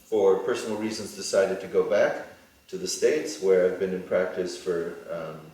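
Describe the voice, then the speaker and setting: A middle-aged man, born in Israel with Hebrew as his first language, answering interview questions deliberately with frequent ums and uhs. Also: low voice, fairly even intonation, darkish tone. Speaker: plastic surgeon, interview guest. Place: studio. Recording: echoey studio, mic far off.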